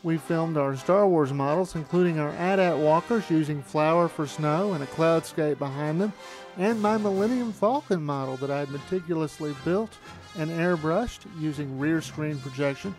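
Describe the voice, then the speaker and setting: Higher-voiced middle-aged man narrating. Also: moderate pace, music in the background, rough recording. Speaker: filmmaker narrator. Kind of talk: documentary reminiscence narration.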